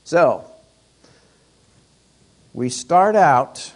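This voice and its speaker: A hoarse man working through a Torah portion at an unhurried pace. His voice is worn but he keeps it chatty, pausing to restart phrases and posing questions to his listeners. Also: a little high, animated. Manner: conversational, rhetorical questions, many restarts. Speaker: Torah teacher.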